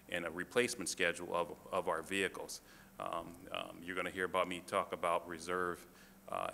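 A man presenting to a city council as a fire department official, halting, with many fillers and restarts. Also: low-pitched, gravelly, pushed and bright. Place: council chamber. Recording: reverberant chamber, faint room noise.

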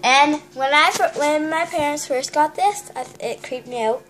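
A woman's voice: high voice, moderate pace, fairly bright tone. A young woman giving a rambling, giggly tour of her home, filmed on a handheld phone in a small house. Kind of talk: casual home tour narration. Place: small house, kitchen and bathroom. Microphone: handheld phone camera. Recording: noisy home phone video.

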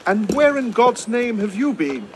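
An actor playing a haughty, disapproving older manservant in a video game. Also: male voice, high-pitched, big pitch swings, measured pace, noisy background. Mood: indignant. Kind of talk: video game cutscene acting.

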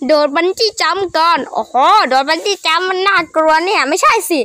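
A child improvising character voices for a cartoon skit, excitable and full of repeated exclamations. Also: extremely high pitch, fairly quick, no room sound, clean studio sound. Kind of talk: improvised voicing of characters in a game-animation skit.

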